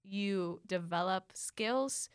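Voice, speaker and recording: A woman's voice: mid-range voice, extremely slow, clear, resonant tone, no room sound, clean studio sound. A young woman from the Philippines chatting as a podcast guest, friendly and talkative, with many likes and ums. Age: young adult. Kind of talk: casual conversational interview.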